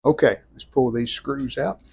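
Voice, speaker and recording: A man giving an unscripted hands-on restoration walkthrough in plainspoken, halting short phrases. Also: medium pitch, fairly even intonation, vocal fry, a little mellow, slightly rough. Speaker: radio restorer presenting own channel.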